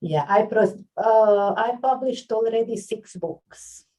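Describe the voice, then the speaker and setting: A Lithuanian woman who works as a nurse and writes books, answering interview questions in halting English with frequent pauses and fillers. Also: fairly high pitch, dark tone, far from the mic, poor audio. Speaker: author and nurse.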